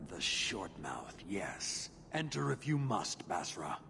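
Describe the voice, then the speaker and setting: Slow, gravelly giant warrior gatekeeper. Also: male voice, deep voice, very animated, noisy background, exaggerated voice. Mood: curt, disdainful. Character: gruff, curt horned giant warrior gatekeeper, male. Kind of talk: video game character acting.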